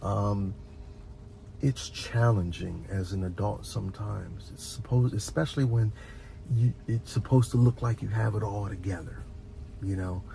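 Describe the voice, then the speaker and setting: Low, creaky-voiced man, mellow and reflective. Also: soft background music. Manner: halting, repeats words, earnest and reflective. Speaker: host of a relaxation and advice channel. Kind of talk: informal heartfelt advice monologue.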